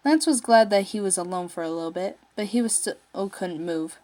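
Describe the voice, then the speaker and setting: A woman with a mid-pitched voice reads fiction aloud as the narrator. She follows a written story in prose. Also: moderate pace, darkish tone.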